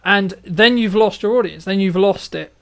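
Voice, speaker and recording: Male interview host, high voice, casual, rambling and repetitive delivery. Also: moderate pace, vocal fry. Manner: rambling, repetitive, checks in with "right?".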